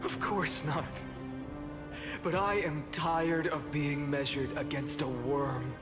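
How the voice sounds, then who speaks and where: An actor playing a weary, defiant fallen hero in a video game, solemn and grandiose with bitter scorn. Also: male voice, on the high side, steady tone, slow pace. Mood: bitter contempt. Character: weary, defiant male fallen hero burdened by countless lifetimes. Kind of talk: dramatic scripted video game acting. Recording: loud music behind the voice. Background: game soundtrack music.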